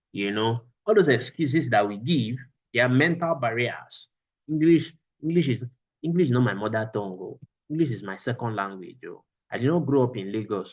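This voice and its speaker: A man's voice: fairly low pitch, muffled recording. A man teaching a class over a video call, repeating his phrases for emphasis. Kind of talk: online class lecture.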